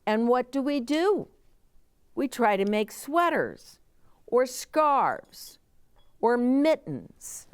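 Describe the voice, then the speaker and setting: A woman's voice: fairly high pitch, big pitch swings, extremely slow, clean tone, no echo, clean studio sound. A middle-aged woman reading a children's story aloud, expressively, with chatty asides explained simply as if to young children.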